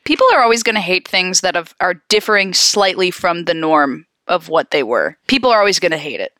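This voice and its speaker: A woman's voice: on the low side, expressive, a little fast, fairly bright tone, no echo, clean studio sound. A woman co-hosting a podcast in casual chat, talking expressively and emphatically with plenty of slang. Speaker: podcast co-host.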